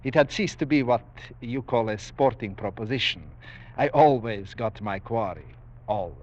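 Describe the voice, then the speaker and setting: Male actor as menacing aristocratic general, courtly, boastful, highly varied pitch, 1940s radio drama, muffled vintage recording. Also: expressive, moderate pace, a little mellow, natural voice. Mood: smugly confiding. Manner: courtly, formal, coolly boastful, savoring his words. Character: suave, menacing aristocratic general who hunts men for sport.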